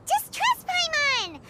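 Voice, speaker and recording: A woman voicing a tiny, childlike, excitable fairy companion in a video game, squeaky, whiny and theatrical. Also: heavy background noise, full character voice. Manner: squeaky, whiny, theatrical, refers to herself in third person. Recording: loud music behind voice.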